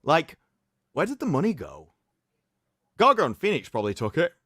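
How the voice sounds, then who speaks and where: A man hosting a livestream, reading aloud in a mocking, theatrical way with sarcastic asides and drawn-out emphasis. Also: on the high side, huge pitch swings, bright, forceful tone, slightly rough, dry recording, clean studio sound. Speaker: livestream host. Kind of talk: mocking dramatic reading of an article with commentary.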